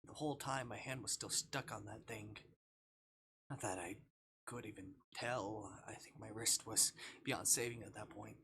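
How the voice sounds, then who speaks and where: A man voicing a shy, self-deprecating student ghost in a visual novel, speaking in a hushed, halting way. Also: fairly low pitch, creaky, noisy background. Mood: subdued, ashamed.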